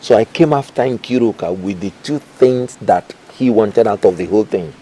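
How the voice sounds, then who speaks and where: A man's voice: lowish voice, dark tone, breathy edge, low-quality recording. An actor playing a humble, principled nephew, speaking respectfully and earnestly to his uncle in a film drama. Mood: earnest, explaining. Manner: measured, earnest, deliberate.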